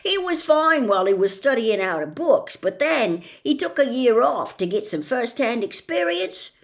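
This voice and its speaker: Hagrid, a woman doing a big hearty gruff man. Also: big pitch swings, moderate pace. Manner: blunt, booming, homely.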